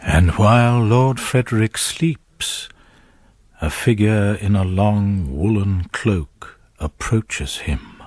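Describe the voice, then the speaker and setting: An actor playing a grave storyteller, narrating a gothic radio drama in a measured, suspenseful way. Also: male voice, on the low side, a little mellow, dry recording.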